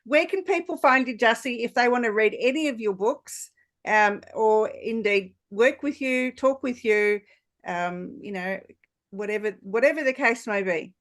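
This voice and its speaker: A woman's voice: on the high side, unhurried, a bit bright. A woman hosting a conversational podcast interview, speaking in an agreeable, affirming way. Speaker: podcast host.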